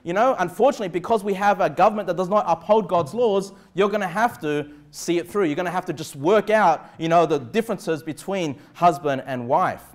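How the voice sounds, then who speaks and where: A man's voice: high voice, a little fast, few pauses. A middle-aged Australian man preaching a sermon as a pastor, emphatic yet conversational, often checking that listeners follow. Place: indoor church pulpit in a small room. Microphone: clip-on lapel mic.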